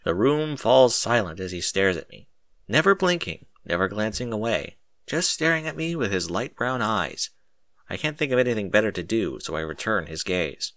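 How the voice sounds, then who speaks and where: Bright, expressive male story narrator. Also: lowish voice, moderate pace, dry recording. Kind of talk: first-person story narration with acted dialogue.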